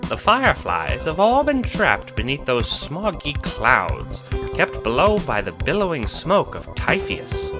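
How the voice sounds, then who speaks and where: A man voicing an eager, wide-eyed young boy adventurer who talks casually and excitably in an audio drama. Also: medium pitch, a little slow, few pauses, over music, pitched above the performer's own voice.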